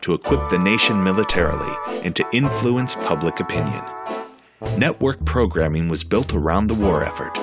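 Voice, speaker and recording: Deep-voiced male documentary narrator. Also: lively intonation, moderate pace, over loud music. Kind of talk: scripted narration.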